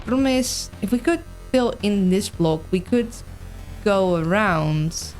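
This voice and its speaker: A woman's voice: mid-range voice, lively intonation, slow, a little mellow, soft background music. A woman with a mild, mixed Dutch accent, streaming a game in casual chat and thinking aloud hesitantly. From Netherlands. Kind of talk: casual livestream chat and puzzle-game commentary.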